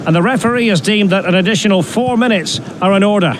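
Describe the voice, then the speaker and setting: A man's voice: high-pitched, fast talker, natural voice. A man voicing an eloquent, theatrical football commentator in a video game, with formal, wordy phrasing. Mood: measured, observant. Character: male football match commentator, eloquent and excitable. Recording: very loud stadium noise behind.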